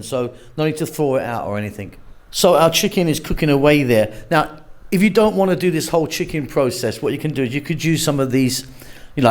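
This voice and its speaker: Chatty man hosting a cooking demo. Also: medium pitch. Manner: chatty, relaxed, thinks aloud with fillers. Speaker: cooking channel host. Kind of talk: casual cooking demonstration.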